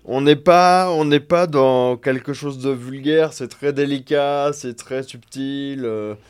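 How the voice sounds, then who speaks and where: A man's voice: mid-range voice, slow, clear, resonant tone. A man hosting a radio show, chatting casually and searching for his words as he introduces music. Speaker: radio host.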